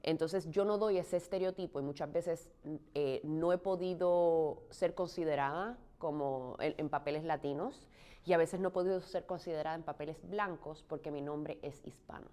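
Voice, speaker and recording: Low-voiced Puerto Rican-raised woman, thoughtful. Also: moderate pace, dark tone, smooth. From Puerto Rico. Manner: thoughtful, frequent restarts and "eh" fillers. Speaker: actress, interview guest. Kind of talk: conversational interview answers.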